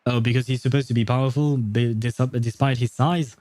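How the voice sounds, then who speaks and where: A man livestreaming a game with a dark, mellow voice. He talks casually and bluntly with wry swearing, in a dry, close sound. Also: medium pitch, fairly even intonation, fairly quick, no echo. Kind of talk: casual game stream commentary.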